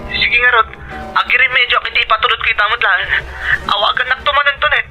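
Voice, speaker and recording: A man playing an anxious husband, very high, sharp voice. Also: quick pace, dull audio, faint music behind, full character voice. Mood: concerned, obliging. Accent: Ilocano. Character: anxious, devoted husband working far away. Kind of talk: radio-style drama acting.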